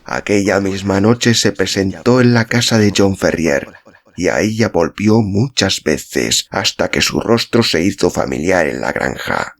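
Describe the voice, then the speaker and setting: A man's voice: sits low, lively intonation, unhurried. A man reading literary narration as an audiobook narrator. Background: music.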